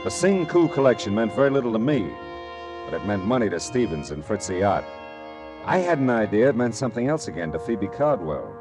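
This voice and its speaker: Hard-boiled american detective, deep voice, sarcastic, old radio show w/ music. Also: male voice, very animated, brisk. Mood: brooding, wry. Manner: dry, sardonic, clipped. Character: hard-boiled, wry male private detective narrating his case. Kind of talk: 1940s radio detective acting and narration.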